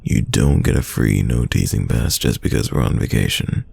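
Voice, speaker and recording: Man acting a very deep, gravelly teasing crush. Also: moderate pace, heavily acted. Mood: playful teasing. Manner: playful, teasing, soft close delivery. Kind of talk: romantic roleplay audio, intimate one-sided dialogue.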